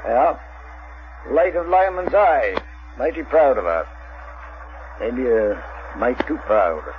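A small-town police chief in a seventies radio drama. He talks in a folksy, hesitant rural American way, plainspoken and fair-minded. The recording is muffled and clearly noisy. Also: medium pitch, expressive. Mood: musing, plainspoken. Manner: folksy, slow, hesitant drawl. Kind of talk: 1970s radio drama acting.

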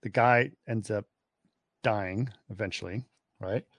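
A man talking casually about a film with a friend, in a halting, conversational way. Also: lowish voice, a bit bright, dry recording.

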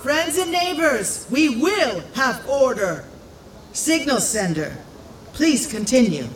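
A woman playing a venerable, firm village matriarch, speaking in formal, measured public address in an audio drama. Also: high voice, sing-song, full character voice. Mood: commanding. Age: older. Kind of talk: scripted audio drama acting. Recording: echoey, faint background noise.

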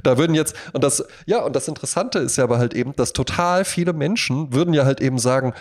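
A man chatting casually as a podcast co-host, telling things in an animated, storytelling way. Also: mid-range voice, fast talker. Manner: animated storytelling, acts out imagined quotes.

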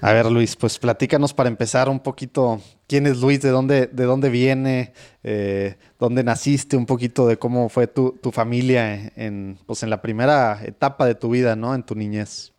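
A man hosts a casual conversation in a friendly, easygoing way. His voice sits at an ordinary pitch, and he often laughs between questions. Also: steady tone, normal speed, darkish tone, clean tone. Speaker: host. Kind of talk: casual chat and prayer.

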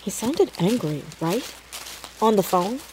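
Mellow, earnest teenage girl sleuth. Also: mid-range voice, very animated, measured pace, slight background noise, rough recording. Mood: earnest, analytical. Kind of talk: naturalistic audio drama dialogue.

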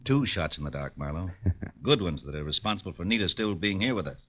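An actor playing a brisk, curious burlesque master of ceremonies in a 1940s radio drama. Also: male voice, low voice, expressive, sharp tone, heavily acted. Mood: curious, grateful. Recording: dull old 1940s radio recording.

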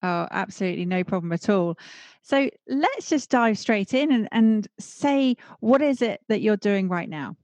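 Measured woman podcast host. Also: mid-range voice, animated, darkish tone, no echo. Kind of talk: scripted intro and interviewing. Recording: dry close audio with faint music.

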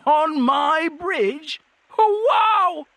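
A big stony troll from a storybook, dim and excitable. The voice jumps very high and swoops wildly, husky at the edges, gushing like a starstruck family man. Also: measured pace, dry recording, heavily acted. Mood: awed, frantic. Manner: desperate, effusive, exclaiming.

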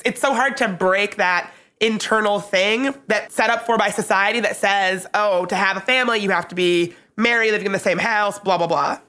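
A woman co-hosting a casual podcast while she is under the weather, so she sounds sniffly and raspy. She talks in an animated, opinionated, rambling way. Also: mid-range voice. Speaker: podcast co-host.

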